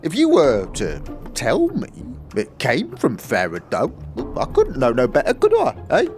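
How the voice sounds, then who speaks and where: A man's voice: mid-range voice, wildly swooping pitch, unhurried, darkish tone, faint music behind. A man playing a wheedling, shifty old junk trader in a fantasy audio drama, folksy and fond of questioning tags. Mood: sly. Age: older.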